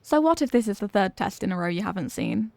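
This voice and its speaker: A woman's voice: medium pitch, big pitch swings, fast, vocal fry, darkish tone, no room sound. A woman playing an evasive, flustered popular cheerleader being tutored, in an acted ASMR roleplay with a hesitant, defensive delivery. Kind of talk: ASMR roleplay, acted one-sided conversation.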